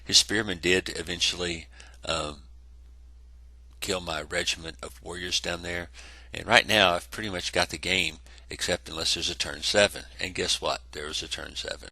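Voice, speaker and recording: Congested man, gravelly voice, dry close sound, casual rambling narration, tabletop battle recap. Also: sits low, fairly even intonation, moderate pace, bright, forceful tone, no echo. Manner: rambling, self-correcting, lots of fillers. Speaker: tabletop wargame player narrating a battle report. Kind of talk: casual battle report narration over photos.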